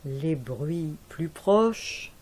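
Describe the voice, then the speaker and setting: A woman leading a guided yoga nidra relaxation as an instructor, giving calm, measured instructions with deliberate pauses. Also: deep voice.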